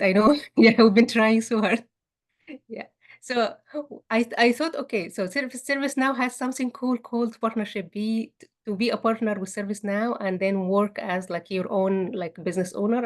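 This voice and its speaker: A woman's voice: mid-range voice, fairly even intonation, moderate pace. A woman, speaking at a meetup about her career, often restarting and repeating words. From Egypt. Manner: frequent restarts and repeated words, warm laughing asides. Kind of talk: personal career story talk.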